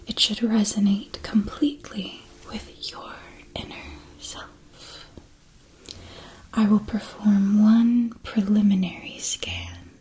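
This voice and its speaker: A woman's voice: medium pitch, natural voice, poor audio. Woman as a whispering, formal wand shop keeper. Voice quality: soft breathy whisper. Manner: formal, measured, mysterious. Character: courteous, mystical wand shop keeper witch, exacting and kindly. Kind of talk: ASMR roleplay.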